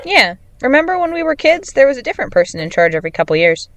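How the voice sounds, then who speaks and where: A woman's voice: sits high, big pitch swings, fast talker, fairly bright tone. A woman playing a dry, matter-of-fact, laconic friend in a naturalistic fiction podcast. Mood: curious, casual.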